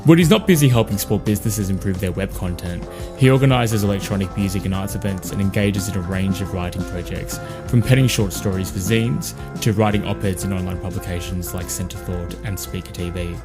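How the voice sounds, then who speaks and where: A man's voice: deep voice, fairly quick. A man reading a scripted podcast outro and credits as the host. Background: music with guitar.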